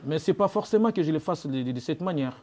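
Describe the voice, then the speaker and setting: A man's voice: on the high side, lively intonation, quick pace, dark tone. A man with a Congolese accent giving defensive, emphatic interview answers, stammering and repeating his phrases as he argues. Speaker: guest, music animator.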